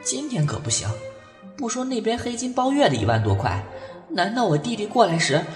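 A woman's voice: fairly high pitch, sing-song, a little fast, music in the background. A woman voicing a streetwise, protective, hot-tempered young man in an audio drama, blunt and emotional. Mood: pleading. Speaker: voice actor.

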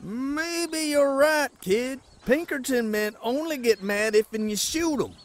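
A man's voice: very high-pitched, slow, a little hiss or hum. A man voicing a folksy, scheming Old West outlaw who talks like a sly fibber, in a fan comic dub. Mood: grumbling, scheming.

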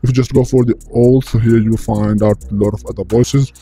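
A man voicing a deep video game ice king through an echoing voice changer in a tutorial voice-over. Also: steady tone, a little fast, warm tone. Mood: instructive, even. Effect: voice changer with echo. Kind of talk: screen-recorded tutorial voice-over. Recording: heavily echoing, distant processed sound.

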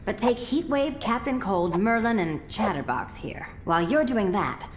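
Woman playing a sly, lively cat burglar. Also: medium pitch, normal speed, slight background noise, lightly acted. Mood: scheming. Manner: teasing, self-assured. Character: sly, purring adult female cat burglar villain.

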